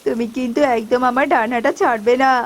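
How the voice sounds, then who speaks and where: A woman's voice: on the high side, steady tone, fast, natural voice. A woman voicing an earnest, protective young male bird in a cartoon. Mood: urgent, concerned.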